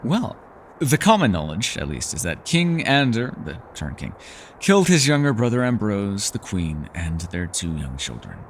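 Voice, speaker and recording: Male actor as ancient hermit elf scholar: low, very expressive, formal, gently teasing, secretive; intimate fantasy roleplay. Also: slight background noise, poor audio. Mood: grave, confiding. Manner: measured, formal phrasing, hesitant and softly teasing. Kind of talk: fantasy ASMR roleplay acting, intimate one-sided dialogue.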